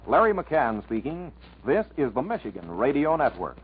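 A man's voice: medium pitch, very animated, moderate pace, soft background music. An American man announcing and reading an advertisement in 1940s radio style. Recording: narrow, noisy vintage 1940s radio broadcast.

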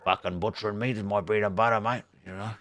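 A man's voice: fairly low pitch, fairly even intonation, quick pace, fairly bright tone, close to an everyday voice. A man with a New Zealand accent playing a chatty, laid-back male butcher held hostage, who swears a lot and rambles. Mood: easygoing. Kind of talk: roleplay in a video game.

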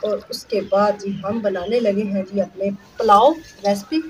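A woman's voice: mid-range voice, steady tone, moderate pace, poor audio. A woman in her thirties from Pakistan, speaking, chatting while she cooks and explaining each step, with "ji" often dropped in. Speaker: vlogger cooking. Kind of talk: casual cooking vlog narration. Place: outdoor village rooftop courtyard. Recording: outdoor camera audio with noise.

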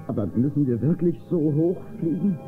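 A man's voice: on the high side, normal speed, over music, lightly acted. A man playing a nervous, boastful little deputy sidekick with a stammering, whiny comic manner in a German children's audio drama. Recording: tinny, noisy old cassette recording.

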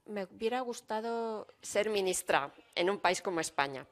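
A woman's voice: mid-range voice, expressive, moderate pace. A woman working as a simultaneous interpreter at a conference, relaying a speaker's words steadily and fluently with small fillers.

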